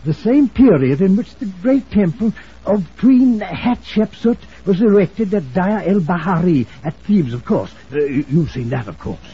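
Fussy, high-pitched old gentleman scholar. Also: animated, measured pace. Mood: rapt, rambling. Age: older. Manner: stammering, pompous, rambling, repeats words. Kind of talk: old-time radio drama acting. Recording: muffled, hissy old radio recording.

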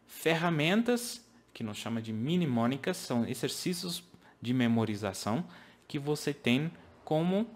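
Man, 30s, English-speaker accent, earnest teacher, home office webcam talk. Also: medium pitch. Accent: English-speaking foreigner speaking Portuguese. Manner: earnest, explaining with hand gestures, makes grammar slips. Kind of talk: casual video lesson to camera.

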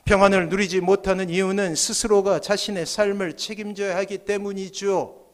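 A man in his forties from South Korea preaching a sermon as a pastor, swinging emphatically between hushed and forceful phrases, at a church pulpit microphone. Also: sits high, level tone, moderate pace. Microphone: gooseneck podium microphones.

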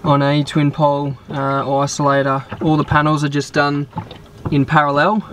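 30s man, slightly high voice, easygoing boat-tour host, faint outdoor noise. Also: normal speed. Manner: relaxed, explanatory, many fillers. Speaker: sailing vlog host. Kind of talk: casual boat tour walkthrough. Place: outdoors on a sailboat deck.

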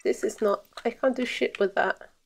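A young woman streams a game from her room. She talks casually to her viewers in a playful, exclamatory way, with a middling pitch. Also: steady tone, moderate pace, gravelly. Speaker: livestreamer. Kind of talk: casual gaming stream chat.

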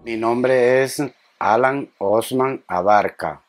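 A middle-aged man from Honduras speaking slowly and hesitantly in a documentary interview, on a lapel mic indoors. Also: medium pitch, faint music behind. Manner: slow, hesitant. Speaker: migrant. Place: indoor shelter room.

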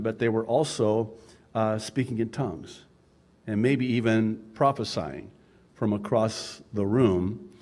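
A man's voice: medium pitch, a little mellow. Male pastor, 50s, Arabic native speaker, conversational pulpit delivery with chuckling asides. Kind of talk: sermon.

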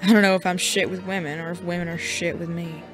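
Woman playing a low, surly sheriff. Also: fast talker, soft background music, close to an everyday voice. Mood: surly, curt. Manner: curt, grumbling, deadpan. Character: gruff, surly Wild West sheriff, sarcastic and guarded. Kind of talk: audio drama acting.

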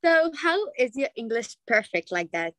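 A young Egyptian woman with an Egyptian accent hosting an interview on a video call, excitable and full of exclamations. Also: fairly high pitch, normal speed, no echo. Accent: Egyptian Arabic. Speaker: host. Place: small room indoors. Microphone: laptop webcam on a video call.

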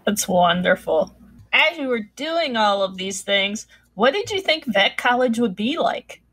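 Bright upbeat lady host asking scripted questions. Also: fairly high pitch, sing-song, measured pace, slightly rough, low-quality recording. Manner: enthusiastic, upbeat.

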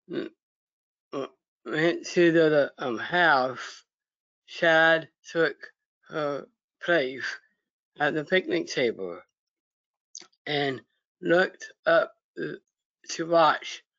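A man reading a children's story aloud haltingly, in short phrases with pauses and stumbles, over a video call. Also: on the high side, fairly bright tone, dry recording, muffled recording. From United States. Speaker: story reader.

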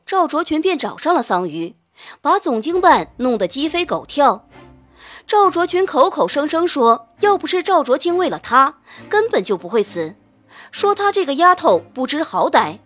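A woman's voice: high-pitched, fairly bright tone. A woman narrating a novel in a scripted, dramatic audiobook style.

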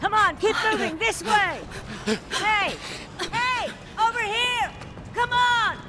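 A woman playing a brisk, no-nonsense older woman giving brusque orders in a video game. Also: very high voice, background noise. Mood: urgent, commanding. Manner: brusque, bossy.

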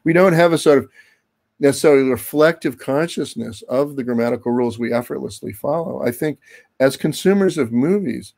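A man's voice: mid-range voice, fairly quick, creaky, a little mellow. A man in his sixties giving an extemporaneous academic talk on a video call, discursive and full of hedging asides. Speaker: academic speaker. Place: book-lined study at home, indoors. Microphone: computer mic on a video call.

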